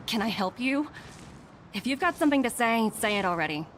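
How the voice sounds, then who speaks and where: A woman's voice: on the high side, lively intonation, brisk, fairly bright tone, a little hiss or hum. An actress playing a proud, guarded young businesswoman in a video game, curt and easily irritated. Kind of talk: dramatic game dialogue.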